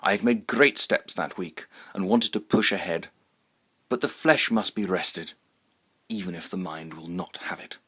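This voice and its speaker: A man's voice: low voice, fairly bright tone, breathy edge, exaggerated voice. A man playing a pompous, fussy, paranoid inventor who formally and dramatically recounts his mishap in a comic short story. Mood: self-satisfied, wry. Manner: formal, verbose, deliberately enunciated, dramatic.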